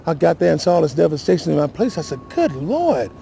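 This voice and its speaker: Male local official, creaky mellow voice, halting and shaken, live interview over background noise. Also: a little high, big pitch swings. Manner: halting, repetitive, emotional and earnest. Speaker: city councilman giving interview. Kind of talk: unscripted live news interview.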